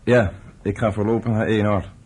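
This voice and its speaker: A man's voice: on the low side, level tone, moderate pace, darkish tone, husky. An actor playing an easygoing, earnest young man, a son and brother, in a radio drama with informal, sincere delivery. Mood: earnest, concerned. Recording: noisy old radio play recording.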